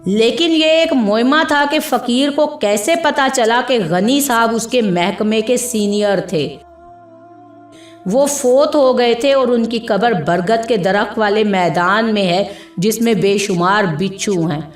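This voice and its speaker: A woman reading a scripted moral story as a narrator, in a measured, dramatic storytelling manner. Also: fairly high pitch. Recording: echoey voice with music behind.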